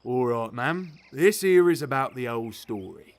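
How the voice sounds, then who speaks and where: An actor playing a grumpy but genial elderly zookeeper, telling things bluntly in rambling colloquial speech for an audio drama. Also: male voice, mid-range voice, expressive, a little slow. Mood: storytelling, candid. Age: older. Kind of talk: audio drama character acting.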